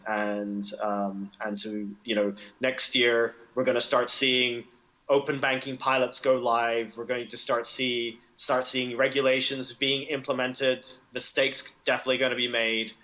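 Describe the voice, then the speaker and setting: A man's voice: medium pitch, little pitch movement, unhurried, sharp tone, low-quality recording. A man who grew up in Indonesia, speaking as a fintech executive answering interview questions in a loose, conversational way, tagging sentences with "right?" and "you know". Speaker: fintech product executive, guest.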